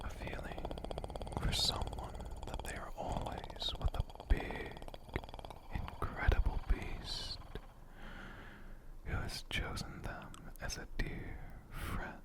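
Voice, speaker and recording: A man speaking in a gentle, intimate, soft-spoken ASMR style as a creator addressing an audience. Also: slow.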